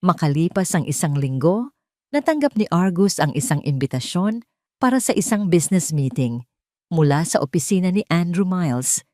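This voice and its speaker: A Filipino woman narrates a dramatic audio story from a script. Her voice sits a little low, and the recording is very dry, with no room around it. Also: very animated, moderate pace, warm tone, dry recording.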